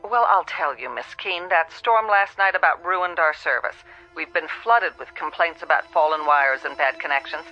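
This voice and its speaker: A woman's voice: sits low, moderate pace, muffled recording, soft background music, lightly acted. A woman playing a businesslike, folksy small-town telephone operator in a radio drama. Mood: matter-of-fact.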